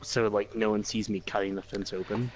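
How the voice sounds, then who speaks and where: A man's voice: lowish voice, flat delivery, fairly quick, rough recording. A man chatting casually as a player in a tabletop game, blunt and prone to swearing. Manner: blunt, profane. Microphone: voice chat microphone.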